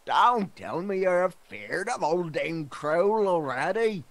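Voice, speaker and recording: An actor playing a folksy, pious old carriage driver who talks in rustic dialect with a teasing, foreboding manner in an audio drama. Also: male voice, sits high, big pitch swings, measured pace, no echo, heavily acted. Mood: kindly, pious.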